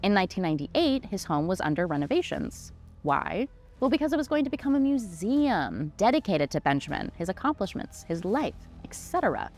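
A woman's voice: mid-range voice, sing-song, a little fast, a little mellow. A woman in her twenties hosting a history show, giving scripted narration in a conversational, dramatic way with casual asides. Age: 20s. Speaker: host of a history mystery show. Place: small indoor studio set. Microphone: desk microphone, close. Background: music.

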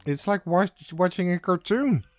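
A man's voice: sits high, lively intonation, unhurried, a little mellow, no room sound, faint music behind. A man doing casual let's play commentary, sometimes reading game dialogue aloud.

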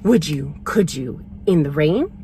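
A persistent storybook pest coaxes in sing-song rhymed questions, as read out by a woman. His pitch bounces around a great deal, and light vehicle cabin noise is audible. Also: animated, a little slow, dark tone. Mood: eager coaxing. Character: persistent, coaxing male food pusher in a storybook. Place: inside a parked vehicle.

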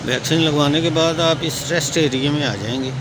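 A man's voice: a little high, steady tone, fairly quick. A man with a Pakistani accent giving a plain, step-by-step explanatory walkthrough as a guide. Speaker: vlogger and guide. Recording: very noisy, traffic and ventilation hum.

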